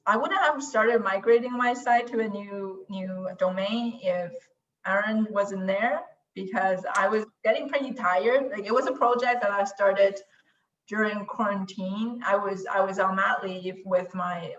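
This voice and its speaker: A woman's voice: medium pitch, steady tone, unhurried, a bit bright. A woman whose first language is Mandarin, chatting casually and self-deprecatingly, often restarting her sentences. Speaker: guest, language website creator. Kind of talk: casual conversation. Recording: distant, roomy, dull lo-fi sound.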